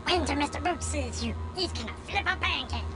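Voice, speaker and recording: A woman voicing a small, childlike, excitable store robot in a video game, exclaiming in folksy slang, with music behind. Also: sits high, a little fast. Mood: excited.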